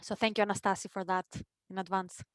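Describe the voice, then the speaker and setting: Woman on a video call, smooth clear voice, dry sound, thinks out loud with restarts. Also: medium pitch, little pitch movement, fast talker, no echo. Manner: thoughtful, repeats words while framing a point. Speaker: nonprofit co-founder. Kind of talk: webinar conversation.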